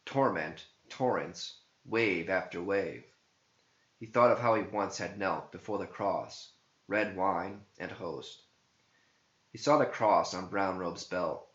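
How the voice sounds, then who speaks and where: A man with a lowish voice narrates dramatic verse at a very slow, deliberate pace. His delivery is solemn and theatrical, and the recording has a marked room echo. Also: a bit bright. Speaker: author and narrator. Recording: muffled, echoey home recording.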